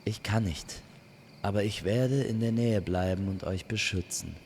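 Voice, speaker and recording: A man's voice: fairly low pitch, measured pace, darkish tone, slight background noise. A man playing a gallant, protective male archer with courtly, commanding words in a fairy-tale audio story.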